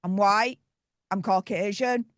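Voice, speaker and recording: A woman's voice: mid-range voice, slow pace, no room sound, studio quality. A woman with a Yorkshire accent speaking bluntly and indignantly as a guest on a political panel. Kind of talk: heated political panel talk.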